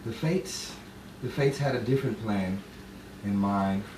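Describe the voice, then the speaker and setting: An actor playing a grief-stricken, brooding man tormented by lost love, with halting, theatrical delivery. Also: lowish voice, darkish tone, natural voice. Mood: mournful brooding. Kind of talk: 1950s-style romantic drama monologue and dialogue. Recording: roomy, distant, faintly noisy stage sound.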